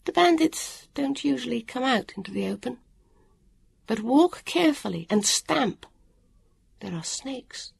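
A woman's voice: high voice, expressive, measured pace, vocal fry, slightly rough, no room sound, pitched above the performer's own voice, exaggerated voice. A woman doing a brusque, harassed guide. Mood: weary. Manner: brusque and impatient. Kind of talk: audiobook character voice.

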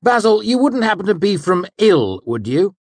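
A man's voice: high-pitched, very animated, normal speed, darkish tone, dry recording, voice pushed up, exaggerated voice. A man voicing a haughty male magic teacher who speaks with mocking, over-courteous scorn.

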